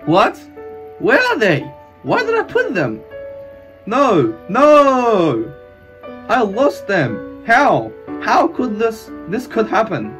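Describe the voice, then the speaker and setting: A man playing an anguished, devoted young swordsman in an amateur anime fan drama, with melodramatic, halting delivery and stilted English over music. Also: mid-range voice, close to an everyday voice. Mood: panicked.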